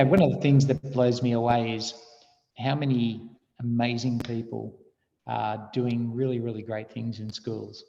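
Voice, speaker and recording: A man's voice: medium pitch, vocal fry. A man hosting a video call discussion, encouraging others and asking for their ideas. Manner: warm, encouraging, inviting ideas. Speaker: host. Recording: lo-fi video call audio with reverb.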